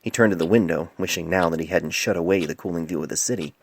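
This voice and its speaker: A man's voice: sits low, fast talker, husky, no room sound. A man reading scripted story narration as an amateur narrator, recorded close on a cell phone mic. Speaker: amateur narrator reading own fan fiction.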